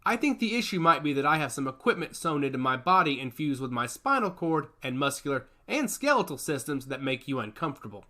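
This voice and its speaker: A man's voice: fairly high pitch, very animated, fairly quick, hardly any pauses, sharp tone. A man doing a brash, cocky fighter. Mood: defensive. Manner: confident, defiant.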